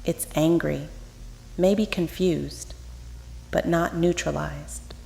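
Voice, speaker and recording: Composed, deliberate female scientist, woman acting, creaky low voice, military horror drama, noisy echoing room. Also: fairly even intonation, mellow, full character voice. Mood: clinical, wary. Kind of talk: analog horror scripted drama. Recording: roomy echo, noisy background ambience.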